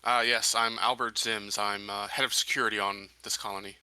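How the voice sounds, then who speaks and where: A man's voice: fairly low pitch, little pitch movement, brisk, sharp tone, clear, resonant tone, no room sound. A man playing a tabletop roleplaying game, talking casually and a bit hesitantly in and out of character.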